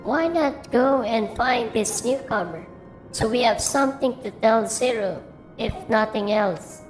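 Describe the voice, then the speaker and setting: A woman's voice: fairly high pitch, measured pace, faint music behind, close to an everyday voice, rough recording. A woman playing a practical young adventurer companion in a video game. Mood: practical, coaxing.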